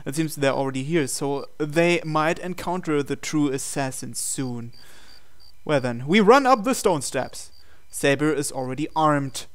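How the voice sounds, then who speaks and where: A man's voice: a little high, animated, unhurried, clean tone. A man hosting a visual novel playthrough, reading the narration aloud dramatically and adding his own commentary. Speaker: host reading a game aloud.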